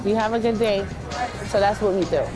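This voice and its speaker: Brisk upbeat woman on a station concourse. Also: mid-range voice, fairly even intonation, music in the background. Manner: upbeat, fast and helpful. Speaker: station customer service worker. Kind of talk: giving directions to passengers. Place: busy indoor station concourse.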